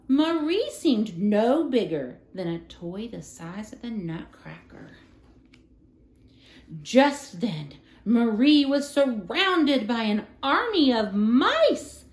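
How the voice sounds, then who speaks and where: A woman reading a children's story aloud as a storyteller, in an expressive, dramatic style. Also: high voice. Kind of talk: scripted children's storybook narration. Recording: roomy, echoing room sound.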